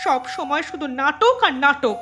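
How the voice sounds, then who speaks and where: A woman voicing a sharp-tongued, protective mother in a Bangla cartoon. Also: high voice, expressive, moderate pace, music in the background. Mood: angry.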